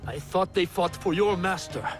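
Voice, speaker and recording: An actor playing a tense, dutiful shinobi warrior in a dramatic game cutscene. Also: male voice, high-pitched, normal speed, close to an everyday voice. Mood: troubled. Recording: music behind the voice.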